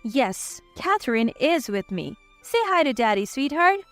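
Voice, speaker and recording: Narrator as unyielding wife, scornful and firm, high animated female voice. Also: measured pace, faint music behind. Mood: calm. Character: cool, resolute wife divorcing her husband.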